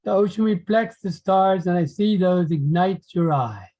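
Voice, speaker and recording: A man playing a devoted, admiring gentleman poet in an online play reading, gentle and formal. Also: high voice, measured pace. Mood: tender, admiring.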